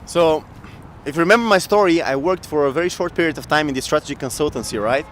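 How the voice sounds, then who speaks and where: A man in his thirties from Bulgaria telling his work story in a casual outdoor interview, animated and laughing often, into a handheld mic. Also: on the high side, quick pace, a little hiss or hum. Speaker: guest entrepreneur. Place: outdoor city square in winter. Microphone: handheld mic shared, camera close.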